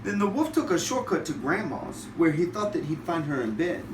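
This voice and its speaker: Man, father reading bedtime rhymes, lively swinging intonation, noisy home recording, roomy. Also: a little high, moderate pace, distant mic. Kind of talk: animated storybook read-aloud in rhyme.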